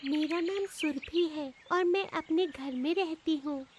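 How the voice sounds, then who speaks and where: A woman's voice: high-pitched, unhurried, highs cut off, slight background noise. A woman voicing an innocent five-year-old schoolgirl in a cartoon moral story, with a childlike, plaintive manner.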